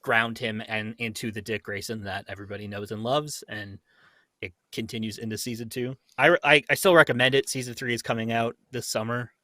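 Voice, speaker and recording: A man's voice: medium pitch, steady tone, brisk, pushed and bright, no echo, studio quality. A young adult man talking casually and enthusiastically as a fan in a group chat.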